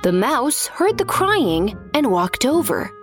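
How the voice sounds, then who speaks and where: A woman's voice: on the high side, sing-song, slow pace, faint music behind. A woman narrating a scripted children's story as a storyteller.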